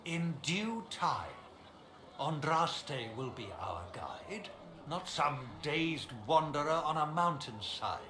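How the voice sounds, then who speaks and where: A man's voice: on the high side, big pitch swings, slow pace. An actor playing a pompous, scornful male cleric in a fantasy video game, argumentative and indignant. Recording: echoey space, faint ambient noise.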